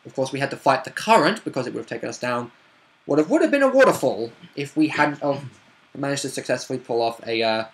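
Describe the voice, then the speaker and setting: A man's voice: mid-range voice, expressive, quick pace. Man rambling through a game recap. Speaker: tabletop roleplay player recapping a session. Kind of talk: casual unscripted game recap.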